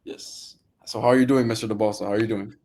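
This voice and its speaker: A quiet young man hosting a casual podcast, asking questions in a hesitant, brief way. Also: on the low side, steady tone, fast. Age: teenager or young adult. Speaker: podcast host, student.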